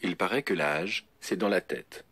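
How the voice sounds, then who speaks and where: Male voice assistant: very low, smooth and synthetic, steady canned delivery, heard through a noisy phone speaker. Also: unhurried. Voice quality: smooth synthetic text-to-speech voice. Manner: evasive, witty canned replies.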